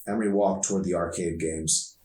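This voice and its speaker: A low-pitched man narrates a novel as its author, in an expressive storytelling style. The recording is roomy and echoey, with him a little away from the mic. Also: fairly quick. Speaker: author reading his novel. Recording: echoey room, distant from mic.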